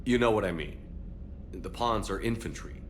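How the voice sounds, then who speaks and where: A man's voice: low voice, moderate pace, a little hiss or hum, natural voice. A man playing a steadfast space military commander in a video game, speaking in a firm, direct, resolute way. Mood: dry, easygoing. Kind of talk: video game dialogue acting.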